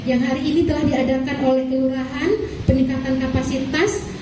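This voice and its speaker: A woman's voice: high voice, level tone, fairly quick, noisy background. A woman from Aceh giving a formal talk to community leaders, hesitant with many fillers and polite apologies. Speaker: speaker at a training session for neighborhood leaders. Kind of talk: formal public address. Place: meeting hall. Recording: echoey hall, voice from far off.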